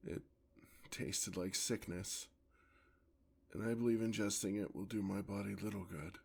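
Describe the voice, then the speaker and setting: A man performs a vampire lover, tender yet predatory, in an ASMR fantasy roleplay. The voice is fairly low and full of gravelly creak. The phrasing is formal and theatrical, delivered at a slow, lingering pace. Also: fairly even intonation, a little mellow, full character voice. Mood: remorseful, weary. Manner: theatrical, formal, drawn-out endearments.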